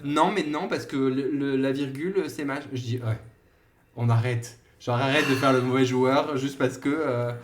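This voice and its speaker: A man's voice: medium pitch, normal speed, a little hiss or hum. A man in his thirties co-hosting a podcast, telling rambling stories in casual chat and laughing often. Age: 30s. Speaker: podcast co-host.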